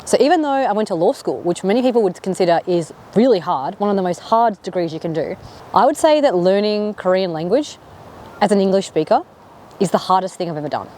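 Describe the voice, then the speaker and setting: A woman's voice: mid-range voice, expressive, a little fast, warm tone, a little hiss or hum. An Australian woman in her thirties chatting casually to camera in a vlog, rambling with lots of fillers. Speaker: vlogger.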